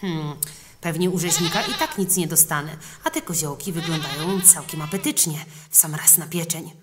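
Woman as a soft-spoken young kitchen boy. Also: low voice, animated, normal speed, heavy background noise. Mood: pondering. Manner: soft, hesitant.

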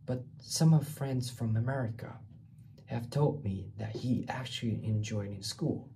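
A young man from Taiwan, a student recording a reading response for class, talking haltingly and repeating words while he searches for them, on a webcam in a small bare room. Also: medium pitch, creaky, dark tone, a little hiss or hum, poor audio. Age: young adult. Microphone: laptop webcam mic, framed from below.

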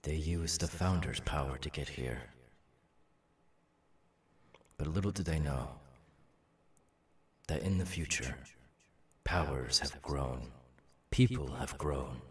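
A man voicing a calm, knowing demon warrior with quiet menace, in a measured, halting, dramatic delivery for an ASMR audio roleplay. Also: very deep voice, slightly rough, full character voice. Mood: quietly sinister. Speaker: voice actor.

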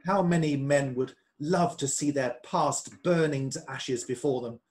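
A man's voice: mid-range voice, a little slow, darkish tone, natural voice. A man playing a fervent, troubled politician and devoted husband in a period radio play. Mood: reflective. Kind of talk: amateur radio play acting of a period drama.

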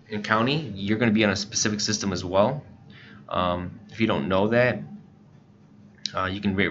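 Low-voiced American man, slightly muffled recording. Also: pushed and bright. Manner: informal, step-by-step explaining. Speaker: instructor, scanner shop staff. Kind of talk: casual software tutorial narration. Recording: muffled lo-fi voice-over, faint background noise.

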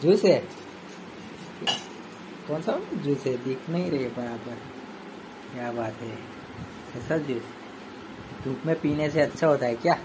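A man's voice: medium pitch, a little slow, pauses often. A man in his thirties talking playfully with his young son in a casual family vlog, filmed on a handheld phone at home. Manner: playful, teasing, chatty. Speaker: vlogger and father. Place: small home room indoors. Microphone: phone held at arm's length. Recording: phone recording with clear background noise.

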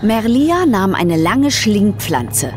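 Female storyteller, lively intonation, medium pitch, scripted children's audio drama narration, music behind. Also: takes it slowly.

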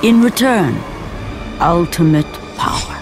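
A woman's voice: lowish voice, animated, slow, music in the background. A woman playing a solemn storyteller, narrating a mythic video game in a grave, portentous manner. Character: solemn omniscient storyteller of Greek myth. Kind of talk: scripted dramatic game narration.

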